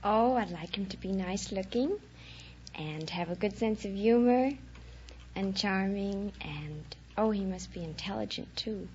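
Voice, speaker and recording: A woman's voice: mid-range voice, a little slow, a little mellow. An American woman answering a host's questions as a contestant on a quiz show. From United States. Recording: muffled 1950s radio recording, faint noise.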